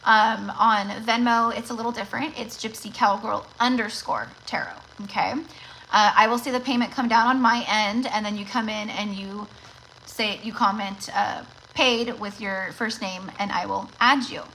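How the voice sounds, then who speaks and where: A woman reads tarot on a casual livestream, rambling and chatting right to her viewers. Her voice sits at a middling pitch and is going slightly raspy. Faint noise runs behind her. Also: steady tone, moderate pace, bright, forceful tone, poor audio. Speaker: tarot reader hosting a livestream.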